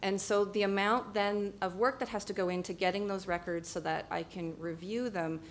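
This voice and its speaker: A young adult woman physician answering on a conference panel in a measured, conciliatory way, speaking into a table microphone in a large hall. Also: mid-range voice, steady tone, slight background noise. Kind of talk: panel discussion.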